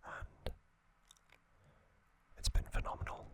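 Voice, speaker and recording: A man hosting ASMR, narrating gently in a breathy, unvoiced whisper with frequent pauses. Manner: slow, gentle, pausing delivery. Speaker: ASMR channel host.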